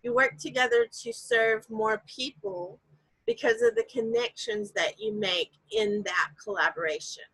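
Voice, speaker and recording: A woman's voice: on the high side, expressive, unhurried, vocal fry, a bit bright, breathy edge, no room sound, low-quality recording. A woman guest explaining her ideas emphatically in a casual interview, with examples. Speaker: guest course creator.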